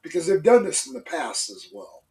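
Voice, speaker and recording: A man's voice: fairly high pitch, big pitch swings, moderate pace, a little mellow, a little hiss or hum. A congested man co-hosting a casual opinion talk show, rambling with many fillers and restarts. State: congested, stuffy. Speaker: co-host. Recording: voice a bit far from the mic.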